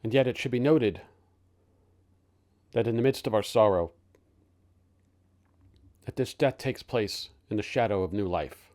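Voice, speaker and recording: A man performing a dignified, grieving starship captain giving a measured, formal eulogy in a theatrical film monologue. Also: on the low side, darkish tone, lightly acted. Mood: solemn, grieving.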